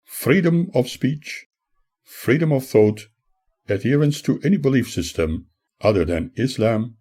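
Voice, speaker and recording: An older man, an author, reads his own memoir as scripted audiobook narration in a formal, measured manner. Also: mid-range voice, expressive, warm tone.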